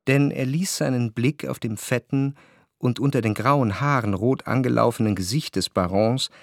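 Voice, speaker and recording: An actor playing a reflective narrator in a literary radio drama, wry and deliberate in his phrasing. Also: medium pitch, vocal fry, dry recording, clean studio sound, close to an everyday voice. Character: reflective, wry male narrator recalling what he spied. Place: dry studio.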